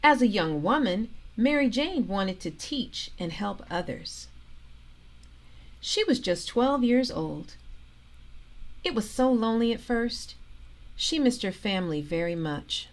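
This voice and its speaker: A woman reading a children's storybook aloud in an expressive, deliberate way, pausing for emphasis. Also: a little high. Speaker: storybook reader, organisation president.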